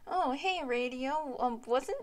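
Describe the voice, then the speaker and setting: A young woman doing casual, comedic character dialogue for an amateur animation, with a slangy, silly, halting delivery. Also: sits high, very noisy. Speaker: creator and voice actor of an animated web series.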